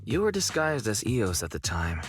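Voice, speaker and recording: An actor playing a calm, measured young male manager in a game. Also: lowish voice, soft background music.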